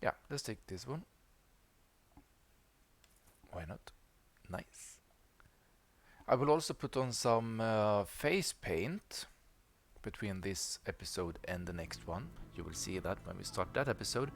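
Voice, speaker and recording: Animated, lowish-voiced male gaming commentator. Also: slow pace, creaky, long pauses. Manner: thinks aloud while playing. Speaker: gaming video host. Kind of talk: casual let's play commentary.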